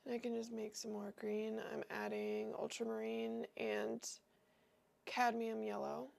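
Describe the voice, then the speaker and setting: A woman's voice: on the high side, monotone, a little slow, gravelly, a little mellow. A young woman with a cold and a nasal voice, hosting a livestream and chatting casually as she paints, wandering and thinking aloud with lots of fillers. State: sick and nasal.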